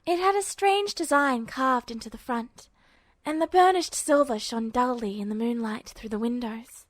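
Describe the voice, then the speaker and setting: A woman's voice: fairly high pitch, moderate pace, no echo. A woman narrating a story from a script as an audiobook-style reader. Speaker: podfic narrator.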